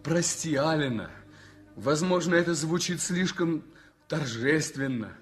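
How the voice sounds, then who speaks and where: A tender young journalist, gravely ill and in love, speaks slowly from a 1960s radio drama. His voice sits a touch high and moves widely in pitch. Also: animated, soft background music. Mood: earnest. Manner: tender, earnest. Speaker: Frantishek. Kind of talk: 1960s radio play acting.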